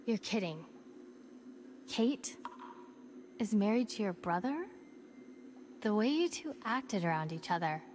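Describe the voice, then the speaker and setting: An actress playing a calm, conciliatory woman surviving in a video game drama. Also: medium pitch, measured pace, long pauses, a little hiss or hum, lightly acted. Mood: surprised.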